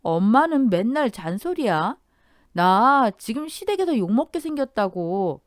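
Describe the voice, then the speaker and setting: A woman's voice: fairly high pitch, very animated, measured pace, no echo, studio quality, pitched above the performer's own voice. A woman voicing a spoiled, careless young sister-in-law who whines and sulks in an audio drama. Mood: sulky. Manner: sulky, whiny.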